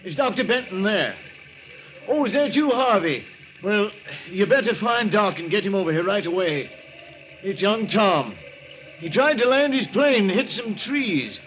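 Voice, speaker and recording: A folksy American country man with a fairly high voice. He is worried and plain-spoken, in old radio drama style. The recording is muffled and faintly noisy. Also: big pitch swings, measured pace. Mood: anxious, urgent.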